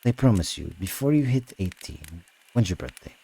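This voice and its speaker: A man performs a swaggering young Russian man from an audiobook, with a very deep voice. He is cocky and theatrical, bragging and teasing as he goes, over faint background noise. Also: very animated, normal speed, creaky, mellow, full character voice. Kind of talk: acted audiobook dialogue.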